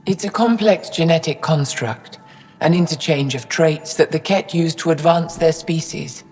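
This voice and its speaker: A woman's voice: deep voice, moderate pace, darkish tone, in a large hall, faint music behind, natural voice. An actress playing a proud, stern older female alien scientist in a video game, speaking formally and pointedly. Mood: grave, explaining. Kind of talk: dramatic video game acting.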